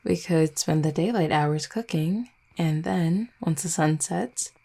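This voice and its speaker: A woman playing an affectionate, gently teasing vampire girlfriend in an intimate roleplay audio, speaking tenderly and without hurry. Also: deep voice, measured pace, vocal fry, exaggerated voice. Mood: relaxed, affectionate. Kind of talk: intimate roleplay audio, soft conversational acting.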